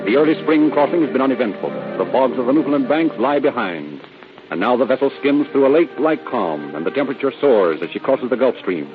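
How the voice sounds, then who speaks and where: A man's voice: medium pitch, very animated, fairly quick, narrow, tinny audio, music in the background. A man with an American accent narrating a crime drama in a dramatic, florid old-time radio style. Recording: thin old radio recording.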